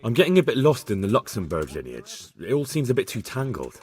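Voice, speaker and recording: A keen young squire in a medieval game. His voice is husky with lively pitch, plain and respectful. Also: brisk. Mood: puzzled. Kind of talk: dramatic game cutscene acting.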